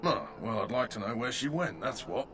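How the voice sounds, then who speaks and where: A man's voice: mid-range voice, sing-song, moderate pace, gravelly, a bit bright, a little hiss or hum. A man voicing a fussy army quartermaster in video game dialogue, with hesitant, sly salesman patter.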